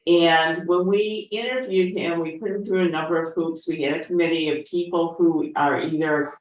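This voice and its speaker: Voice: medium pitch, steady tone, moderate pace, distant mic. The sound is heavily echoing and away from the microphone, as on a video call. The speaker makes an informal introduction of a guest as a member of the congregation.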